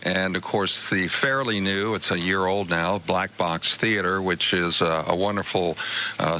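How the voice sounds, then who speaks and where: An older American man being interviewed on the radio, explaining things in measured, halting short phrases. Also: low voice, pushed and bright, a little hiss or hum. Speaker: interview guest, college department chair and theater director.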